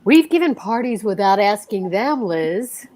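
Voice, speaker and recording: A woman's voice: medium pitch, expressive, a little slow, darkish tone, lightly acted. A woman playing a sensible, resigned husband in old time radio comedy style, reasoning with mild exasperation.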